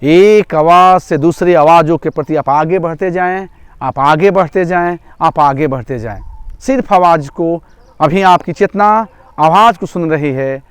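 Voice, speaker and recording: High-pitched, clear-voiced male relaxation guide. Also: unhurried, no room sound. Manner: repetitive, step-by-step instructing. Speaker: yoga nidra instructor. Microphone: microphone through a loudspeaker.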